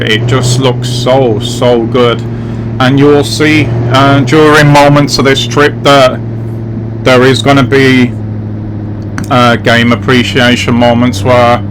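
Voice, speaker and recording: A man's voice: mid-range voice, noisy background. A man with an English accent gives casual, chatty gameplay commentary, rambling along and speaking directly to his viewers. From England. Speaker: gaming video host. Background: driving game vehicle sounds.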